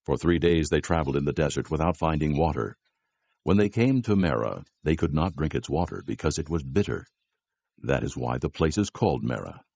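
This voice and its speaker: A man with an extremely deep voice narrates scripture in measured storytelling style. His tone is gravelly and husky, as a scripted narrator. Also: expressive, darkish tone.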